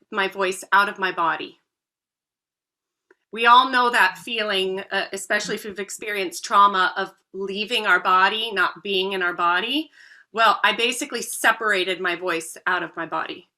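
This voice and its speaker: A woman's voice: medium pitch, sharp tone. A woman in her thirties, a voice coach, telling her own story as a guest in an earnest, heartfelt way. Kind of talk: podcast interview.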